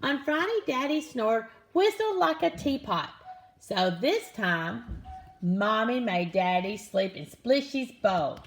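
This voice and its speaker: A woman reading a children's picture book aloud in an expressive, dramatic manner. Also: on the high side, slow, slight background noise. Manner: animated, expressive, with dramatic gasps and exclamations. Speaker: storybook reader.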